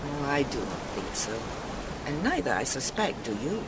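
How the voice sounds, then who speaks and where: A performer playing a dignified, wise Chinese woman in a radio drama, formal and measured. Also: mid-range voice, big pitch swings, lightly acted. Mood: serene, formal. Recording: loud ambient background noise.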